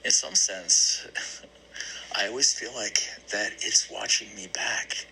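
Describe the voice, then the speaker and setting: Man acting a low, halting, brooding therapy patient. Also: fairly even intonation, pushed and bright, slight background noise, full character voice, rough recording. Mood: wistful. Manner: hesitant, searching, repeats phrases. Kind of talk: dramatic one-act play monologue.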